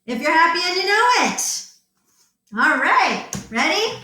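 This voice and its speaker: A woman's voice: extremely high pitch, very animated, a little slow, bright, forceful tone, echoey room. A woman leading an interactive children's story time as a librarian, enthusiastic and encouraging as she talks to young children.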